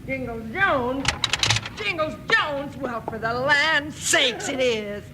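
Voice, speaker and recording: A woman's voice: high voice, expressive, slow. A woman playing a feisty, bossy old frontier aunt in a western radio drama, scolding in a folksy, emphatic way. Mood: fussing scold. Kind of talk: 1950s western radio acting. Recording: noisy old radio recording.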